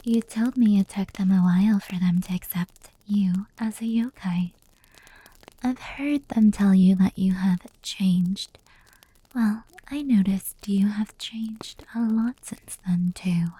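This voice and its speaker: A woman playing a gentle fox-demon yokai in an ASMR roleplay, telling stories slowly and intimately in a soft, breathy whisper. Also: medium pitch, low-quality recording. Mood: tender. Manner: slow, intimate, unhurried.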